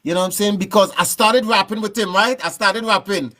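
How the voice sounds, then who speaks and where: A man from Trinidad with a Trinidadian accent, telling stories in a relaxed, rambling way as a guest in a casual interview. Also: high-pitched, fairly quick. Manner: relaxed, rambling, frequent "you know what I'm saying". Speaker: guest musician.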